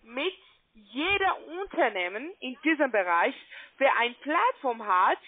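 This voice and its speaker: High-pitched foreign-accented woman on the phone. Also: very animated, measured pace. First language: not German. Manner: insistent, repetitive, broken grammar. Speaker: call-centre account adviser. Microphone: telephone. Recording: tinny band-limited phone line.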